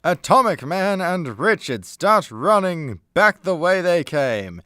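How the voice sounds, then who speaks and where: A man's voice: sits high, very animated, takes it slowly, sharp tone, no echo, clean studio sound. A man delivering scripted narration as the narrator of a comedy radio play.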